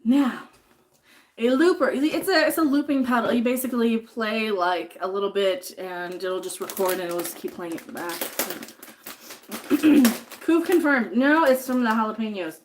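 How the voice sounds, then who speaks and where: A woman's voice: a little high, moderate pace, slight background noise. A woman chatting casually on a livestream while she cooks in her home kitchen, sniffly from chopping jalapenos and reading viewer comments aloud. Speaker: livestream host cooking.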